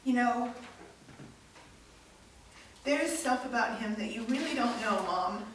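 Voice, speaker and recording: A woman's voice: a little high, animated, measured pace. A woman playing a defensive, hurt teenage daughter in a staged reading, recorded in an echoey room. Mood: sullen. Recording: echoey room, distant mic, noisy.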